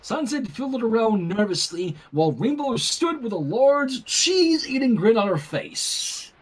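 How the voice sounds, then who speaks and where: A storyteller narrating fan fiction in an animated style with a slightly husky voice. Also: medium pitch, measured pace.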